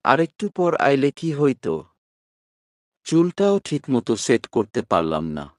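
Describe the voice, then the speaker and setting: A man's voice: mid-range voice, wildly swooping pitch, slow pace, no echo, clean studio sound, voice pushed up. A man voicing a vain, sulky young male student complaining plaintively in dialect, in an audio story. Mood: aggrieved, sulky.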